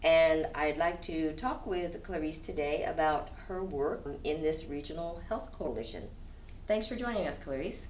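A woman hosting a community radio interview show, asking questions calmly and attentively and giving soft mm-hmms. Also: low-pitched, normal speed, distant mic, slight background noise. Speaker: host. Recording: echoey room with faint music.

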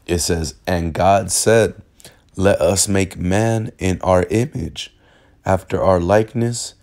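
A very deep-voiced man, hoarse and raspy from a cold, gives a casual bible lesson. He talks haltingly, stalls on repeated words and fills gaps with fillers while reading verses aloud. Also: fairly even intonation, darkish tone. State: hoarse from illness. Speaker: religious teacher. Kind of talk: informal bible lesson over screen recording. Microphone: phone screen recording.

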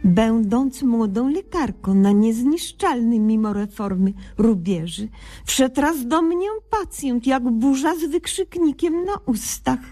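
A woman playing an earnest, naive young doctor in a radio comedy sketch, deadpan in her quaintly mangled officialese. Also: a little high, slow pace, faint music behind. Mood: solemn narrating.

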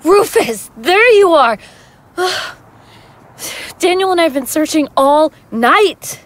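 A woman's voice: sits high, lively intonation, takes it slowly. A woman acting in an audio drama as a friend who is secretly an otherworldly being. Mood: worried, relieved.

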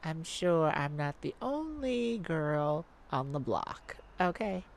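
A woman playing a cocky, flirtatious master thief in an ASMR romance roleplay, with teasing, drawn-out phrasing and dramatic pauses. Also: sits low, big pitch swings, creaky, full character voice.

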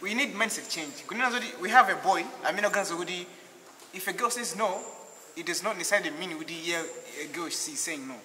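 A man's voice: high voice, quick pace, pushed and bright. A young man with a Malawian accent arguing in a group discussion, switching between languages. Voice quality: code-switching talk. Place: indoor hall at night. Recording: echoey hall with faint noise.

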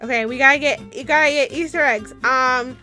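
A woman voicing a bossy, excitable, scatterbrained young wizard student in an improvised game roleplay. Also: sits high, brisk, soft background music. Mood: thinking aloud.

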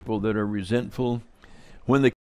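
A man's voice: fairly low pitch, fairly even intonation, normal speed, warm tone, clear, resonant tone, dry recording. An older man giving earnest, informal Bible teaching, gesturing as he talks in a kitchen under renovation.

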